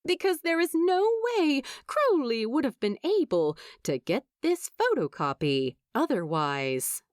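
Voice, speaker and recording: Very animated, unhurried woman storyteller. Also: on the high side, dry recording, studio quality. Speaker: fan fiction narrator. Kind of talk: expressive scripted story narration.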